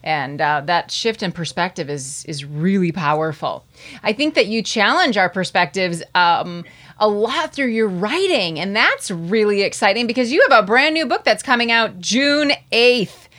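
A woman's voice: medium pitch, expressive, moderate pace, a bit bright. A woman hosting an interview, encouraging and affirming her guest. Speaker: interview host. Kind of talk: casual interview talk.

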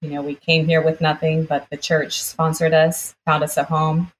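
A woman's voice: sits low, little pitch movement, moderate pace, low-quality recording. A woman from the United States, born in Brazil, telling a personal story conversationally as an interview guest, quoting people as she goes.

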